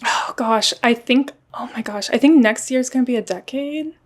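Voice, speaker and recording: A woman with an American accent chatting casually as a podcast guest, exclamatory and quick to laugh. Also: a little high. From United States, raised partly in Germany. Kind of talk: casual conversational interview.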